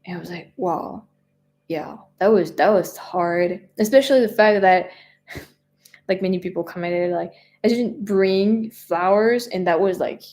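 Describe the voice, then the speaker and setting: A woman's voice: fairly low pitch, unhurried, darkish tone. Chatty French-accented woman in her twenties. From France. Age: 20s. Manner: chatty, rambling, lots of fillers and restarts. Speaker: travel vlogger answering live chat. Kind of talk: casual live-stream chat.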